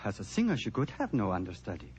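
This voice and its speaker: A man's voice: fairly low pitch, huge pitch swings, quick pace, a little mellow. An actor playing a grieving, proud foreign prince in an old radio drama, formal and emphatic in his speech. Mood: proud, wistful. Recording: dull, noisy vintage radio recording.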